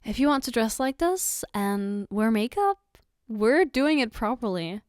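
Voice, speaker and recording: A woman's voice: on the high side, big pitch swings, measured pace, clean tone, dry recording, clean studio sound. A woman playing a loving, reassuring and playfully teasing girlfriend in an intimate ASMR roleplay, with a tender, coaxing manner. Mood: gently teasing, caring. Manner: tender, coaxing, soft giggles between phrases. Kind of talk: ASMR roleplay, intimate one-sided dialogue.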